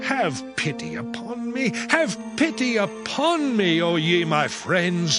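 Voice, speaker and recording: An actor playing an anguished, suffering man who pleads his innocence in an impassioned, deliberate scripture drama. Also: sits high, full character voice. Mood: anguished pleading.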